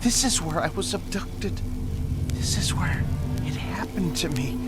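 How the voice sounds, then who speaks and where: A man's voice: high-pitched, lively intonation, measured pace. An actor playing a frightened, hesitant man who believes he was abducted, in a radio drama. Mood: dazed. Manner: hesitant, fearful, dazed. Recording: loud music bed.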